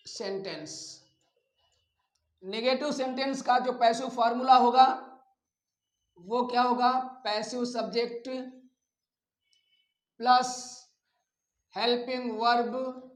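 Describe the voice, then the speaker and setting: A man teaching a grammar lesson step by step, deliberately dictating and stretching out repeated examples. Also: very high-pitched, fairly even intonation. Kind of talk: classroom lesson, step-by-step explanation. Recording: echoey room.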